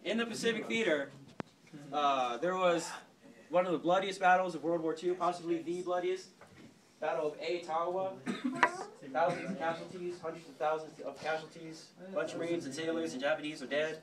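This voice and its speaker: A young man telling history informally to a small group in a cocky, flippant, halting way. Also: fairly high pitch. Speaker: storyteller host playing a cadet gunnery sergeant. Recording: distant mic, clear background chatter. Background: several people chattering and interjecting.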